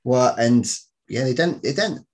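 A man's voice: mid-range voice, animated, a little slow. A man hosting a podcast in casual, enthusiastic chat, joking and swearing freely. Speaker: podcast host.

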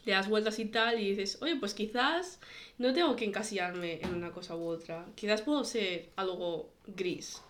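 Young woman, medium pitch, informal and explanatory, casual interview guest. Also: normal speed, a bit bright, slight background noise. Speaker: podcast guest.

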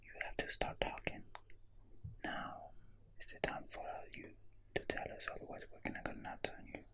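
Whispering ASMR role-play host. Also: normal speed. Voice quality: breathy whisper. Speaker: podcast host.

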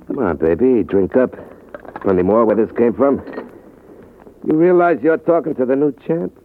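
An American actor playing a boastful, tipsy boxing champ with cocky slang in a 1940s radio drama. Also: male voice, medium pitch, moderate pace, natural voice. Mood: cocky, amorous. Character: boastful, tipsy prizefight champ sweet-talking a date. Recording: tinny, noisy old radio broadcast.

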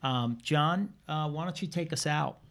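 A man's voice: a little high, measured pace, fairly bright tone. Rambling podcast host, man. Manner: rambling, filler-heavy, enthusiastic. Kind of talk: casual podcast introduction.

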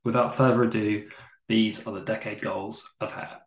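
A man's voice: lowish voice, steady tone, normal speed. A man hosting a casual podcast interview, chatty and self-deprecating. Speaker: podcast host. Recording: roomy echo.

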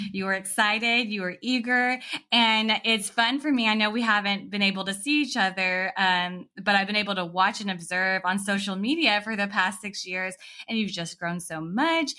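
A woman podcast host chatting and interviewing in a casual, animated storytelling manner. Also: mid-range voice.

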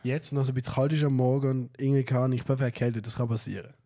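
Young man, Swiss German accent, has a cold, mid-pitched voice, dry and teasing, casual chat. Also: steady tone, quick pace, mellow, slight background noise. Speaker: podcast co-host.

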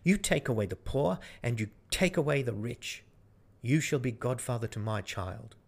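A man's voice: medium pitch, lively intonation, moderate pace, creaky. Man as blunt, indignant poor peasant.

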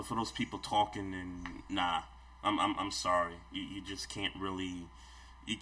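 A man co-hosting a sports podcast, talking casually with halting repeats of his words. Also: fairly low pitch, pushed and bright. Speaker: podcast co-host.

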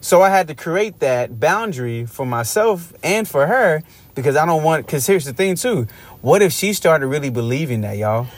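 A man co-hosting a casual podcast, telling a story with lively acted-out quotes and sports slang. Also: fairly high pitch, normal speed. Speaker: podcast co-host. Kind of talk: casual chat.

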